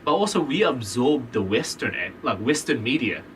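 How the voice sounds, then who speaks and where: Chatty New Zealand man, lively voice. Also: mid-range voice, normal speed. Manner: chatty, rambling, laughs easily. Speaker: podcast guest. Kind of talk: casual chat. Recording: distant mic, faint background noise.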